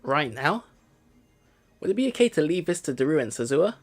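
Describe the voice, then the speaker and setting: Lively man reading out a game. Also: a little high, brisk. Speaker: let's play host. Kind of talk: reading game narration aloud with casual commentary. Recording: game music behind the voice.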